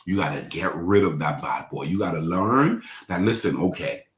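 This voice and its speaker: Deep-voiced preacher, lively delivery. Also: male voice, moderate pace, reverberant. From Bahamas. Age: 40s. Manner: repetitive call-and-response preaching, leads congregation in affirmations. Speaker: pastor. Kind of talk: sermon.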